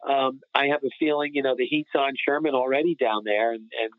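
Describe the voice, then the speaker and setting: An American man co-hosting a sports podcast, chatting casually in an animated, opinionated, colloquial way. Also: mid-range voice, a little fast, no room sound. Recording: muffled phone-like connection.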